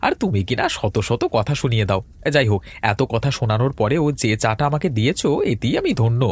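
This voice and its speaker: A man's voice: medium pitch, sing-song, quick pace, breathy edge. A man playing a pleading, freeloading village tea customer in an audio drama, talking in a wheedling way. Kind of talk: Bengali audio story drama acting.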